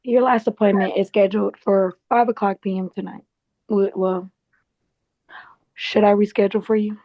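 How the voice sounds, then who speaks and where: Dry, slightly muffled mid-pitched actress as a frank, sassy, protective woman coworker; naturalistic drama table read. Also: level tone, normal speed, dry recording, lightly acted. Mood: caring, candid. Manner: colloquial, frank. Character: candid, protective, sassy woman office colleague.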